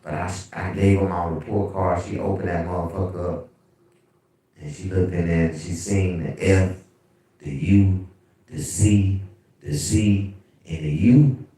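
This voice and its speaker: Deep bass man, echoey room. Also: unhurried, long pauses, far from the mic. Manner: animated, profane storytelling. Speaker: livestream host. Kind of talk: casual livestream storytelling. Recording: very echoey room, away from mic.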